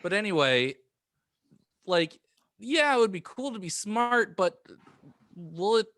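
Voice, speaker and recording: High-pitched, lively, bright-voiced man. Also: takes it slowly, dry recording, studio quality. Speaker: podcast co-host. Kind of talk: casual panel podcast chat.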